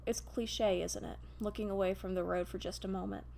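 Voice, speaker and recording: A gentle guardian angel voiced by a woman tells an intimate story of a beloved human. The voice has a creaky edge and a wistful, grieving temper, in the manner of an audio storybook. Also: medium pitch, fairly even intonation, a little fast, a little hiss or hum, lightly acted.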